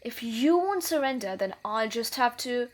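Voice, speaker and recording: A woman's voice: fairly high pitch, expressive, moderate pace, lightly acted. A woman playing a wily, menacing thief in a scripted fantasy audio roleplay, with a theatrical delivery. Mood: threatening.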